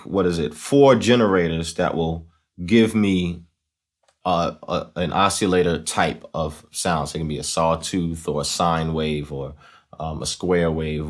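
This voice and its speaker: A man with a low-pitched voice hosts a casual tutorial. He explains step by step in a conversational way, often checking that the listener follows. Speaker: host of a talk box tutorial series.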